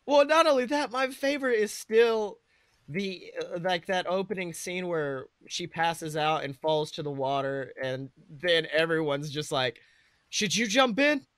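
A man's voice: sits high, expressive, unhurried, a bit bright, no echo. A man co-hosting a podcast in casual comedic chat, joking with lots of slang and swearing. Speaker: podcast co-host.

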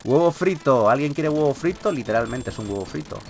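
A man's voice: mid-range voice, lively intonation, a little fast, vocal fry, noisy background. A man with a Peninsular Spanish accent doing casual, joking, mildly sweary Let's Play commentary as a gaming video host. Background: video game music.

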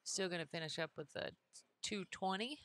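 A woman calling live bowling play-by-play on a headset, steady and dryly teasing. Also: lowish voice, normal speed, slight background noise. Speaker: commentator. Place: commentary booth at a bowling center.

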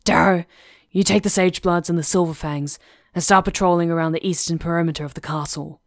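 A woman's voice: on the low side, steady tone, fairly quick, dry recording, natural voice. Fierce female pack leader for fantasy roleplay, played by a woman: crude, bossy, impatient, recorded dry. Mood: commanding. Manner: blunt, crude, commanding. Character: brash female werewolf pack chief, blunt, fierce, impatient. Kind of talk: ASMR roleplay acting.

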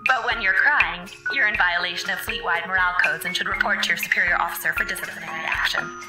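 An actress playing a polite, unflappably cheerful space station computer, formal and deadpan, reading out a manual in a radio drama. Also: female voice, fairly low pitch, loud music behind the voice, lightly acted, low-quality recording. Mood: serene, deadpan. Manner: measured, formal, deadpan reading of grim advice.

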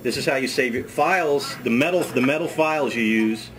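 A middle-aged man, a ski school director, demonstrating a hands-on task in a wood-paneled workshop, talking in a relaxed, chatty way and repeating words as he works. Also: medium pitch, fairly quick. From United States. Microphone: camera mic on a workbench. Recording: camera audio with steady background noise.

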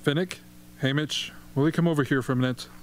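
A man's voice: a little high, steady tone, fast talker, lightly acted. A man playing a calculating rebel propaganda chief in an amateur radio play. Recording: faint hum and background noise.

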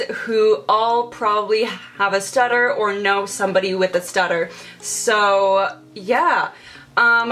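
A woman's voice: mid-range voice, fairly bright tone. A young woman vlogger chatting casually while doing her makeup in her bedroom, stuttering with repeated sounds and blocks. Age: young adult. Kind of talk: casual get-ready-with-me chat. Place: bedroom, indoors.

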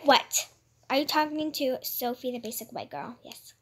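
A woman's voice: sits high, moderate pace. A woman hosting a casual gaming video, chatting as she plays. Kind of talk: casual gameplay chat. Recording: noisy home recording with clicks.

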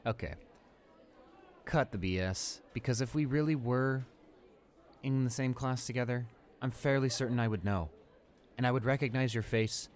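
A man's voice: mid-range voice, moderate pace, natural voice. A young man playing an arrogant, irritable student bully in an improvised audio roleplay, with a smug, dismissive manner. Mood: smug, condescending. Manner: smug, dismissive, muttering to himself.

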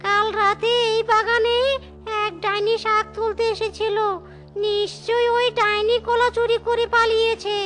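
A blustering, cowardly cartoon ghost, whining in a very high voice. The delivery is slow and exaggerated, over prominent music. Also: female voice, flat delivery, a bit bright. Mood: aggrieved. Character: gruff, easily cowed garden guard ghost. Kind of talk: children's cartoon dubbing.

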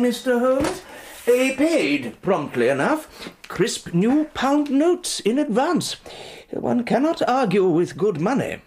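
A prim, hesitant house agent. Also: male voice, very high-pitched, a little mellow, a little hiss or hum, exaggerated voice. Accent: English. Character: prim older male house agent, cautious. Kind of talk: 1990s radio drama acting.

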